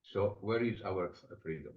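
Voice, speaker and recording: Man, estonian accent, psychotherapist, low voice, reflective and pausing, dull lo-fi recording. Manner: reflective, explains with everyday examples, frequent pauses. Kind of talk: interview answers. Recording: dull lo-fi sound.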